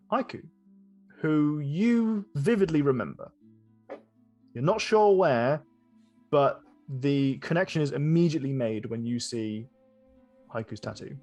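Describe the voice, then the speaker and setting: Higher-voiced male game master, improvising. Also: animated, a little mellow, faint music behind. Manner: halting, often rephrasing as he improvises. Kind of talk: improvised tabletop game narration.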